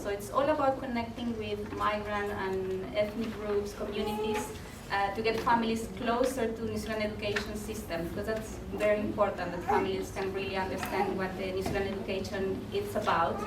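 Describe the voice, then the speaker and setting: Woman from Chile speaking as a guest. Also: mid-range voice. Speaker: guest speaker, education advisor. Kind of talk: friendly self-introduction. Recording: roomy, distant, noisy room.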